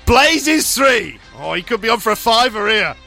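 A man's voice: very high-pitched, big pitch swings, normal speed, creaky, bright, forceful tone, soft background music. A man co-hosting a sports radio show, reeling off lists and playfully quizzing his co-host in casual chat. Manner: playful, reels off lists, teasing.

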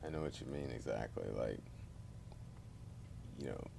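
A man hosting a podcast, asking his guest questions in a relaxed, soft-spoken way. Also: very deep voice, normal speed, creaky, noisy background. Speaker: podcast host. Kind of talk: podcast interview with scripted intro.

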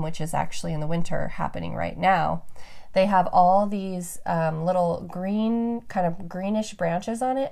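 A woman's voice: lowish voice, vocal fry, a little mellow. A woman hosting a podcast, talking upbeat and enthusiastic while stuffed up from allergies. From Nevada, USA. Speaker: podcast host. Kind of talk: solo podcast talk.

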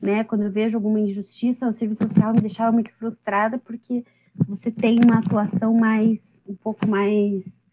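A woman's voice: on the high side, little pitch movement, fairly quick, dark tone, slight background noise, low-quality recording. A woman in her late thirties, a podcast guest, talking chattily about herself with self-deprecating humor and frequent laughs. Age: 30s. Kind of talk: casual interview.

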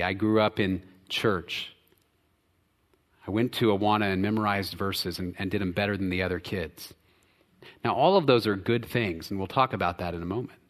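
A man from the United States preaching a sermon as pastor, swinging between loud emphatic stretches and quiet low drops. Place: church sanctuary. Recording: church hall with long reverb.

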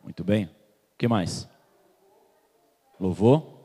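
A man's voice: low-pitched, expressive, very slow, dark tone, breathy edge. A man from Salvador, preaching a sermon in a church and putting questions to the congregation. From Salvador, Bahia, Brazil. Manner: questions the congregation and waits for replies. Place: church hall. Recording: long hall reverb.

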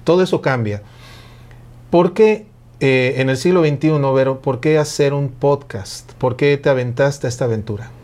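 A man hosts a talk about microphones and radio in a friendly, conversational way. He builds his questions in long, winding sentences at an ordinary pitch for a man. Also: normal speed, darkish tone. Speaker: radio host interviewing a guest. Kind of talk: conversational interview.

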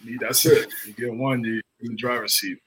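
A man co-hosting a casual sports podcast over a video call, excitable and prone to repeating himself. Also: medium pitch, moderate pace, slight background noise, poor audio. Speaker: podcast co-host.

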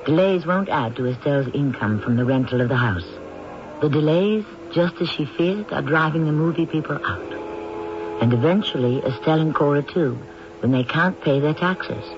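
A man hosting and narrating a radio drama in a measured, wry storyteller's manner. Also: on the high side, dull audio, noisy background. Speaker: host narrator. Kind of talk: scripted narration. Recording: old radio broadcast with music behind.

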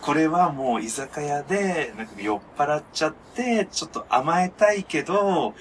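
A man's voice: on the high side, expressive, moderate pace. A man co-hosting a casual chat, playful and theatrical, often acting out little quips. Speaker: podcast co-host. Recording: faint noise behind.

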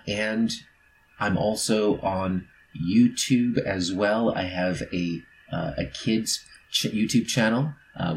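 A man's voice: on the low side, darkish tone, slight background noise, low-quality recording. A man speaking as a guest author, explaining his work in a casual promotional talk. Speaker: featured storyteller, author.

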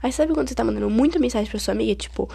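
A Brazilian teenage girl chatting through her own podcast, her voice congested from a cold, rough with vocal fry and a husky edge. She rambles and complains with dramatic flair. Her tone sits a bit high yet mellow and dark. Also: very fast. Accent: Brazilian Portuguese. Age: late teens. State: stuffy-nosed with a cold. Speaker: podcast host. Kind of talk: casual solo podcast chat.

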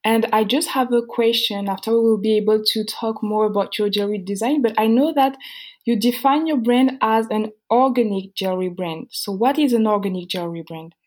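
A woman hosting a conversation, asking questions in a relaxed, conversational way. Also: a little high, a little fast. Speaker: podcast host. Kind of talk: interview questions.

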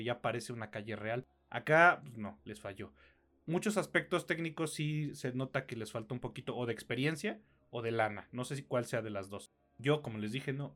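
A man's voice: mid-range voice, fairly bright tone. A Mexican-accented man in his late thirties with a mid-range voice talks through reviews as a podcast co-host. He is opinionated and casual, leaning on slang and swearing freely as he makes his points. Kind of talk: casual film and TV review chat.

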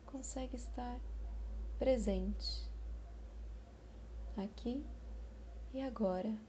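A Brazilian woman guiding a meditation in a soothing, unhurried manner, with long pauses between short phrases. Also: fairly high pitch, mellow, slight background noise. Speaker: meditation guide. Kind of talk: slow guided meditation.

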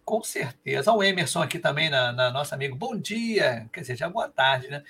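A Carioca man hosting a podcast, chatting excitably in quick, animated bursts. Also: on the high side, breathy edge. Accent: Carioca Portuguese. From Rio de Janeiro, Brazil. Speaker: podcast host. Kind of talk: casual podcast chat.

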